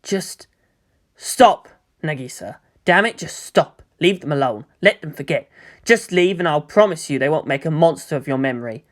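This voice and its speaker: A woman voicing an exasperated, pleading young male hero in a dramatic story reading, emphatic and halting. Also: low-pitched, expressive. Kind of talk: dramatic audiobook character reading.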